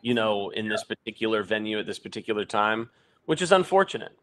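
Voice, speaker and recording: A man's voice: lowish voice, animated, fast talker, fairly bright tone. A young man co-hosting a casual postgame sports podcast over a video call, talking through the matchup in a rambling, analytical way with many fillers and restarts. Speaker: podcast co-host.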